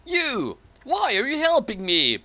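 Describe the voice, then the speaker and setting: A man's voice: extremely high pitch, wildly swooping pitch, slow pace, pushed and bright, voice pushed up, full character voice. A man voicing an earnest, exclaiming young hero in a parody story reading. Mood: baffled.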